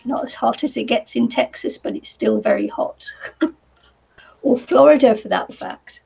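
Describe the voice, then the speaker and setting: A woman with a New Zealand accent chatting casually on a live craft stream, her voice croaky today, rambling with lots of ums and little laughs. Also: a little high, normal speed, a little mellow. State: croaky voice from the heat. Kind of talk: casual chat while crafting. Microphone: computer or camera mic with a fan nearby. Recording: slightly dull lo-fi sound.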